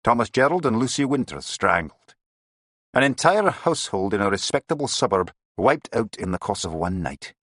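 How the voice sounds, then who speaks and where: A man's voice: fairly low pitch, big pitch swings, normal speed, a bit bright, dry recording, pitched above the performer's own voice. A stern Scottish police inspector who weighs every word with grave authority, as a man reads him aloud. His voice sits a little above the reader's own and swings freely. The recording is dry and close.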